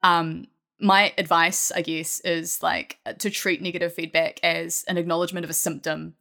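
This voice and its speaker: A woman talking casually as a guest writer in an interview, animated and quick to laugh. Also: fairly low pitch, gravelly.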